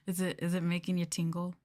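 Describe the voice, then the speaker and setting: A woman's voice: on the low side, a little mellow. A woman streamer chatting casually on an ASMR livestream, playful, giggly and a bit hesitant, close to the mic. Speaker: VTuber streamer.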